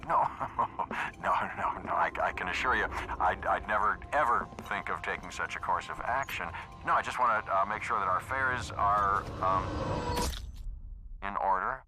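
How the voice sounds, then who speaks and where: A man's voice: sits low, moderate pace, natural voice, poor audio. A man playing a shifty, scheming noir character in a game cutscene, conspiratorial and evasive. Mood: nervous, evasive. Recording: music behind.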